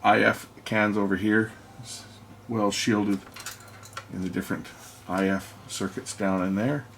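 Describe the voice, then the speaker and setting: A man's voice: fairly low pitch, flat delivery, unhurried, creaky, a little hiss or hum. A man giving casual hands-on narration as host of an electronics repair video, half muttering to himself as he works.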